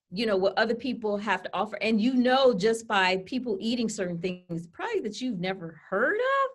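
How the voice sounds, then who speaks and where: Woman co-host, chatty webinar presenter, laptop webcam call audio. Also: mid-range voice. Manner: chatty, full of fillers like "you know" and "okay?". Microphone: laptop webcam on a video call.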